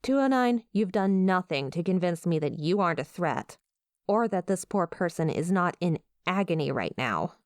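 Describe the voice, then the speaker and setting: A woman's voice: lowish voice, lively intonation, moderate pace, no echo, studio quality, close to an everyday voice. A woman playing a stern, uneasy research agent who questions a monster in a clipped, official way in a horror audio roleplay. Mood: firm, uneasy.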